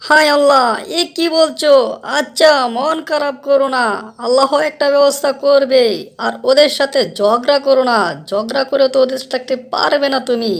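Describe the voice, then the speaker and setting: A woman's voice: sits high, fairly even intonation, moderate pace. A woman voicing a worried wife who scolds her husband to get up. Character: fretting wife of the fox.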